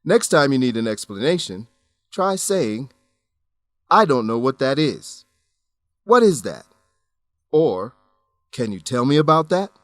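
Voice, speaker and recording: A man reading scripted educational narration for an English lesson, enunciating clearly in an instructional manner. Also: medium pitch, sing-song, unhurried, pauses often, dry recording. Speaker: narrator of an English-teaching radio program.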